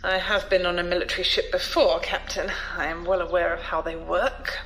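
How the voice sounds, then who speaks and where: A woman playing an imperious old noblewoman emissary in an audio drama, haughty, deliberate and cutting. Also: fairly low pitch, fairly even intonation, fairly bright tone, slight background noise, low-quality recording. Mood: haughty, displeased.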